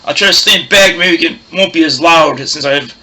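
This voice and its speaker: A man with a big, loud, roaring voice hosting a casual record collection show, chatting in a rambling way and losing his train of thought. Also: a little high, moderate pace. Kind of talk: casual show-and-tell chat.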